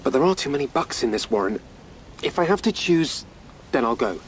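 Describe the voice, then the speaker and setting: A man's voice: medium pitch, lively intonation, fairly quick, a little hiss or hum, close to an everyday voice, poor audio. A male actor playing a thoughtful, anxious rabbit in a radio drama, questioning and wary in manner. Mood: anxious, resolved.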